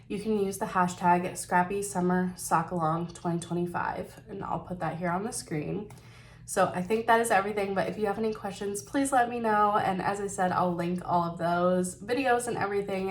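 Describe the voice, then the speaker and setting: A woman hosting a knitting video podcast, chatting casually about her projects in a nasal voice because she is getting over a cold, upbeat with some fillers and slips. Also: medium pitch.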